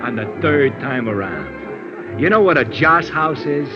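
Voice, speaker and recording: An actor playing a chatty, slangy bar manager who uses pompous big words, in old-time radio drama. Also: male voice, medium pitch, expressive, a little slow, close to an everyday voice. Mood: gabby, enthusiastic. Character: gabby, hip, self-taught male bar manager. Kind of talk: 1950s radio acting, hipster slang. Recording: dull old radio recording, music behind. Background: music.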